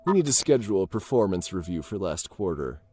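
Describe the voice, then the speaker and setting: A man's voice: low-pitched, big pitch swings, a little fast, mellow. A man playing a prying, falsely friendly workplace boss in an audio drama, breezy and probing. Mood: breezy, managerial. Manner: breezy, probing, insincere politeness.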